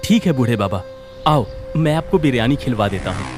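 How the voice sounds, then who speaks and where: An actor playing a kind-hearted, expressive working husband in an audio story. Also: male voice, mid-range voice, fairly quick, over music, lightly acted. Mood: kindly.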